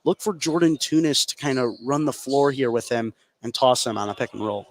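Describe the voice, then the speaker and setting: A man's voice: medium pitch, fairly quick, a little hiss or hum. A man working as a color commentator on a live basketball broadcast, explaining the play in a conversational way. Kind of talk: live sports analysis. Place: indoor basketball gymnasium.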